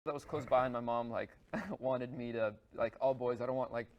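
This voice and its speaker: A man chatting as a game show contestant, quick and self-deprecating. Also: medium pitch, fairly even intonation, a little mellow, lo-fi sound. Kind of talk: casual conversation.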